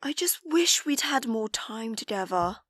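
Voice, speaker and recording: An actress playing a kind, wistful colleague in an audio drama, with a soft, tender delivery. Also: female voice, a little high, very animated, unhurried, no room sound, full character voice.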